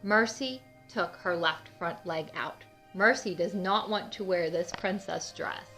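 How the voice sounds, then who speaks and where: A woman reads a children's storybook aloud in a bright, clear-cut voice. She is expressive and speaks directly to young listeners. Soft music plays underneath. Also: lowish voice, a little slow. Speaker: children's book read-aloud narrator.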